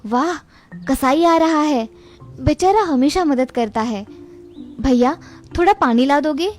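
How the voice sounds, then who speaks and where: A woman acts out a village housewife in a cartoon fable. Her voice is high-pitched, with a caring, devout manner. Faint music plays behind her. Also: measured pace, a little mellow. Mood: practical. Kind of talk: cartoon moral-story dialogue.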